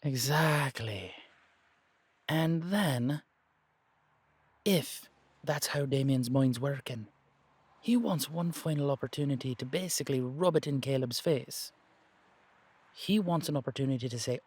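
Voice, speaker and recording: A man acting a shrewd, streetwise investigator in an audio drama, speaking deliberately and persuasively in halting, emphatic phrases. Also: mid-range voice, a little mellow, breathy edge, dry recording. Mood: insistent. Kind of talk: dramatic audio roleplay dialogue.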